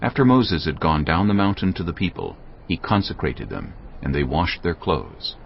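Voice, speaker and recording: A man narrating scripture in a steady, measured, grave manner. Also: deep voice, vocal fry, slight background noise. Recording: dull lo-fi with music bed. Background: music.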